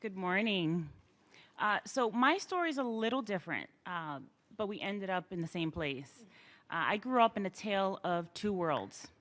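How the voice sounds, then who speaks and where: A woman's voice: medium pitch, animated, moderate pace, fairly bright tone. A woman on a panel telling her personal story earnestly, starting phrases with "uh".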